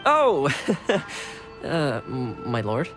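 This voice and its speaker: A woman playing a sly, playful, deferential retainer in a video game, speaking in a wry, drawn-out way. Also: sits low, wildly swooping pitch, over music. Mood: flustered. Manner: wry, drawn-out, swooping delivery. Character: sly, teasing subordinate of a lord, playful and deferential.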